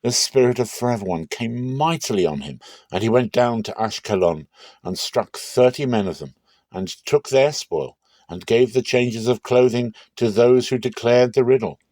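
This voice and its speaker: A man narrating a dramatic reading of scripture in a solemn, deliberate and expressive storytelling style. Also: lowish voice, no echo.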